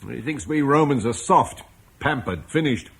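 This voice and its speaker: An actor playing a gentle, troubled Roman landowner and father, speaking reflectively in a vintage radio drama. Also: medium pitch, expressive, moderate pace, slightly rough. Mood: proud. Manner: reflective, soft-spoken, haunted.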